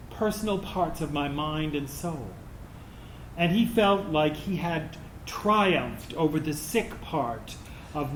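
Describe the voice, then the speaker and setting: In an echoey room with faint noise, a man plays an anguished transgender veteran recounting years of hiding. The male voice is fairly high and unhurried, a staged dramatic monologue. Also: lightly acted. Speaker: actor in a staged reading. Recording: roomy, noisy live stage recording.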